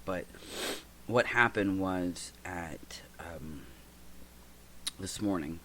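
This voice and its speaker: A man in his forties from the United States, hosting a reflective personal talk, stuffy and congested, speaking haltingly with many fillers and restarts. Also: sits low, bright, forceful tone, a little hiss or hum. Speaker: podcast host.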